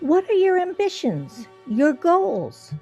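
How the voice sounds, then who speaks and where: A woman's voice: extremely high pitch, big pitch swings, slow pace, warm tone, soft background music, exaggerated voice. An actress in a radio play playing an insistent, questioning woman nearing fifty who prods with emphatic delivery. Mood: probing, insistent.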